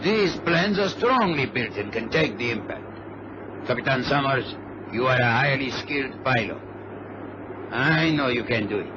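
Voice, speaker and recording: A man's voice: mid-range voice, animated, a little slow, close to an everyday voice. Man playing a courteous, menacing hijacker. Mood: cool, commanding. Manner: courteous, coolly threatening. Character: polite, menacing Latin male hijacker with a gun. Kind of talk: radio drama acting. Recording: noisy, dull vintage radio recording.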